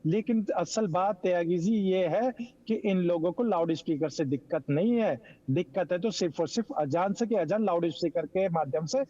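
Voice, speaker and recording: A man's voice: high-pitched, normal speed, dark tone. A man arguing insistently as a TV debate panelist, with rhetorical, repeated points, over a lo-fi link. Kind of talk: argumentative TV debate. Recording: dull lo-fi remote link.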